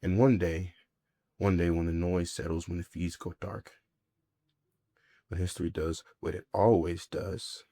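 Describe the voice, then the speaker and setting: A man performing a scripted spoken-word monologue in a measured, deliberate, reflective way, in short weighted phrases. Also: deep voice, flat delivery, warm tone, dry recording, clean studio sound.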